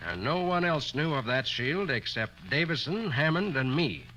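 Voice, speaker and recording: Bright, animated radio detective. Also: male voice, a little high, low-quality recording. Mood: reasoning, assured. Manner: brisk, deductive, commanding. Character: sharp, level-headed male private detective reasoning out crimes. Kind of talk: 1940s radio crime drama acting. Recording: old radio recording, faint noise.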